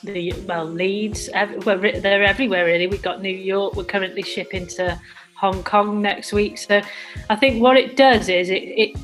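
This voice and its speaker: A woman's voice: medium pitch, fairly even intonation, normal speed. A woman co-founder answering interview questions in a conversational way, with many restarts and "sort of" fillers. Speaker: social enterprise co-founder. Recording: music playing under the voice.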